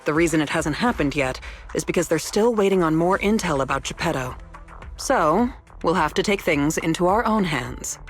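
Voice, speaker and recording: A woman voicing a young female researcher in a video game, speaking formally and precisely with dry, quiet evasiveness. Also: low-pitched, lively intonation, normal speed, soft background music. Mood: measured, matter-of-fact. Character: young female researcher, composed, wry, quietly rule-bending. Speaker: researcher character. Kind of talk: scripted video game dialogue.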